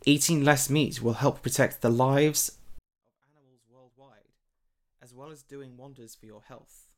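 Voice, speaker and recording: A man's voice: mid-range voice, fairly even intonation, moderate pace. A man reading an opinion article aloud as scripted narration. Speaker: article reader.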